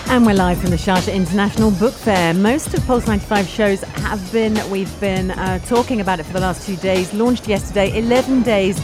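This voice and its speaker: A woman's voice: medium pitch, a little fast, few pauses. Lady on live radio, bubbly, music bed underneath. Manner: bubbly, chatty. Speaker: radio host. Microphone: studio microphone.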